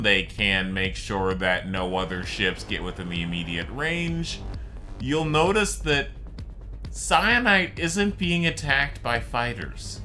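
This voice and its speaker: A man's voice: a little high, pushed and bright. A man giving casual, rambling, explanatory commentary on a game during a live stream, as the streamer.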